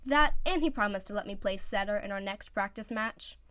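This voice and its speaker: A woman's voice: mid-range voice, a little fast, sharp tone, natural voice. A woman voicing a reluctant, weary teenage boy teammate with a resigned manner in a story reading.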